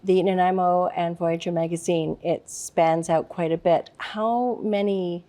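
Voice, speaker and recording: A woman hosting a talk show, asking halting, hesitant interview questions. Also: on the low side, steady tone, dark tone.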